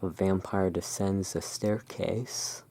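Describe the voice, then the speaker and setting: A person narrating in a soft, hushed, deliberate ASMR style while presenting cards as a host. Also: low voice, fairly even intonation, vocal fry, husky.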